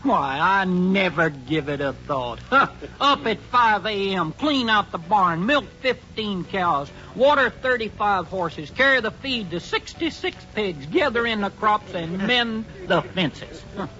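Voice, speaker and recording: High-pitched grumbling country sidekick. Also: male voice, animated, unhurried, few pauses, sharp tone, exaggerated voice. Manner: folksy, complaining. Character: grumbling, lazy, wisecracking country ranch-hand sidekick. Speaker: comic sidekick. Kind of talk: 1940s-50s radio western comedy acting. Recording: muffled vintage radio recording.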